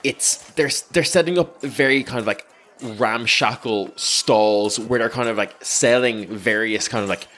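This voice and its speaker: A man narrating an improvised scene as game master in an informal, halting, conversational way. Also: mid-range voice, a bit bright.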